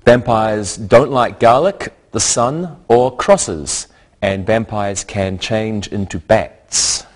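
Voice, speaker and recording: A man leads a scripted English lesson, his voice sitting a little low. He speaks at a very unhurried pace, setting words apart one by one so learners can follow. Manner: deliberate, spells out words one at a time. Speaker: English teacher. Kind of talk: slow, clear scripted language lesson.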